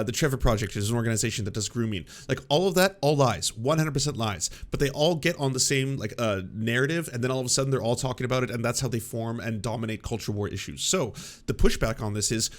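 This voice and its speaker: A man's voice: mid-range voice, fast, hardly any pauses. A man hosting a live stream, reacting to clips with sarcastic, rambling political commentary. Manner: sarcastic, mocking, rambling with fillers.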